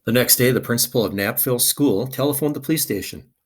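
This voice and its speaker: A man's voice: mid-range voice, fast, slight background noise. A man reading a children's picture book aloud expressively, with dramatic emphasis.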